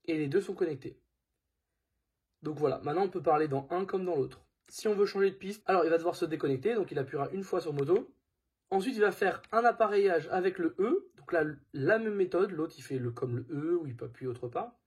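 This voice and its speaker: A man's voice: on the high side, brisk, a little mellow, husky. A man presenting a product in a casual hands-on tutorial, informal and thinking aloud as he goes.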